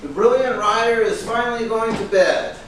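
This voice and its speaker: An actor in a staged reading playing a protective, admiring friend. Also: male voice, extremely high pitch, normal speed, a bit bright. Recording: echoey room from far off, faint noise.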